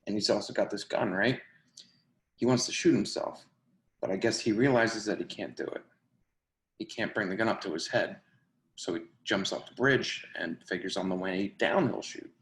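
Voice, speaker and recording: Grungy, tough mid-twenties drinker male actor, low register, offhand profane stage dialogue, echoey room. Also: normal speed, natural voice. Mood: matter-of-fact. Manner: slangy, profane, conversational. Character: twenty-four-year-old grungy, tough drinker, casual and defensive. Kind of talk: remote play reading, naturalistic casual dialogue. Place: indoor room, remote video call. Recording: roomy, echoey video call.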